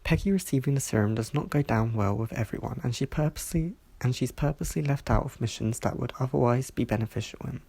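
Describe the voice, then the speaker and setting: A reviewer reading a scripted review in a measured way, sounding stuffy from hay fever. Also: medium pitch, creaky, mellow, breathy edge, no echo. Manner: measured, reads from a script with small slips. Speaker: video reviewer.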